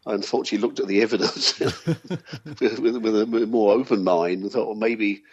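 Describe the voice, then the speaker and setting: A man's voice: on the low side, brisk. A man chatting casually as an interview guest, with a hesitant, wry, discursive way of talking. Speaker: interviewed UFO sceptic.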